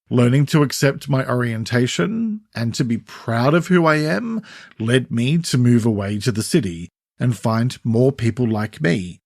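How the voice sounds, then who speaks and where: A middle-aged man with an Australian accent hosting a scripted solo podcast in a measured, deliberate, conversational way. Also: mid-range voice, no echo, studio quality. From Sydney, Australia. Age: 40s or 50s. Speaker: podcast host, mental health counsellor.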